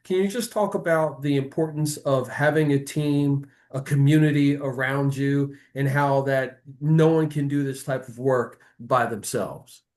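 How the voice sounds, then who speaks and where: A man's voice: medium pitch, fairly even intonation, unhurried, few pauses, darkish tone. A man hosting an interview in a conversational way, addressing guests and announcing details. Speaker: interview show host.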